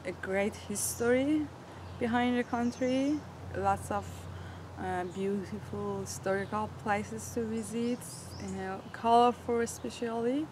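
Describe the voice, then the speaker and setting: A young woman from Iran speaks in an earnest, searching way, with a mid-range voice. She feels for words in an as she shares her story. Traffic noise runs clearly behind her. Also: medium pitch. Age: 20s. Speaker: interviewee. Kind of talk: personal storytelling.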